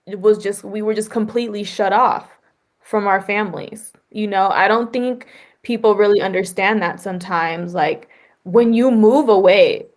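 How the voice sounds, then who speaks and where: This woman hosts a casual interview podcast. She talks in a lively, chatty way and scatters "like" and "right?" through her sentences. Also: medium pitch. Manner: animated and conversational, often adds "like" and "right?". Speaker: podcast host.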